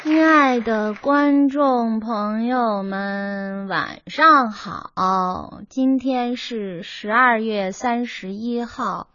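An actress playing a prickly young female emcee who snaps back fast, in a radio comedy drama. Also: sits high, big pitch swings, sharp tone, dry recording, exaggerated voice. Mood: reciting. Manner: quick to bristle, snappy comeback. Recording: slightly dull radio audio.

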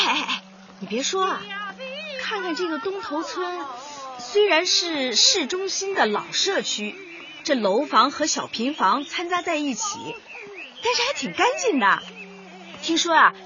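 A high-voiced young woman, chatty and easily flustered. Her delivery swoops expressively and is full of exclamations, in a muffled radio drama recording over a busy background. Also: very animated, normal speed, a crowd in the background. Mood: chatty, wry. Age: young adult. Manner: exclamatory, effusive, full of sighs and interjections. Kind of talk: radio drama acting, phone monologue.

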